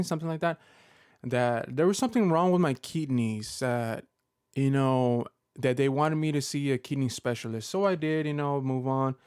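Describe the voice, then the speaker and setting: A man in his thirties talks straight to his viewers in a casual vlog, stopping and restarting often with lots of fillers. His voice is smooth and resonant, at an ordinary pitch. It is recorded close up and dry, with no room sound. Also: a little mellow, no room sound. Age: 30s. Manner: halting, many fillers and restarts. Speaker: Pokemon content creator. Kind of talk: personal vlog update.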